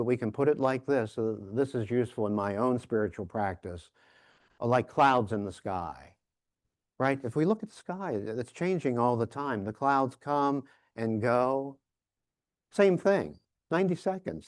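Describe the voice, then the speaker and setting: A man giving a reflective, informal conference lecture, halting and repeating words as he emphasizes key phrases. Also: mid-range voice, dry recording, clean studio sound. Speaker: professor giving a keynote workshop.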